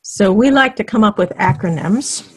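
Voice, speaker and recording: A middle-aged woman leading a dating teleclass on a video call in relaxed, encouraging talk full of fillers and restarts. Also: mid-range voice, normal speed, poor audio. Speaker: dating coach co-hosting a teleclass. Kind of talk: conversational teaching on a video call.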